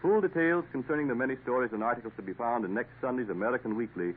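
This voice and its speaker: Fast-talking 1950s radio announcer man. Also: medium pitch, slight background noise. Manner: smooth, formal announcer delivery. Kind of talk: scripted sponsor announcement. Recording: narrow lo-fi 1950s radio broadcast.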